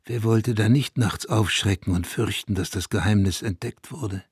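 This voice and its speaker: An actor playing a brooding, reflective narrator from a dying town, in a measured, literary radio play monologue that drops to a hush in places. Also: male voice, on the low side, breathy edge, dry recording, clean studio sound. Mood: grim, brooding.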